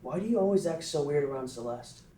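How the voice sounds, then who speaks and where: A man's voice: fairly high pitch, lively intonation, quick pace, dark tone, slight background noise, voice pushed up, lightly acted. A man reading the part of a cocky, playful teenage boy with a teasing, yelping delivery.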